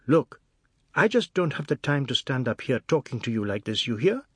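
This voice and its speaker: A man's voice: high-pitched, very animated, moderate pace, husky, no room sound, studio quality, voice pushed up, full character voice. A man reading a novel aloud, voicing an impatient wife who talks bluntly and scolds. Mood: scolding.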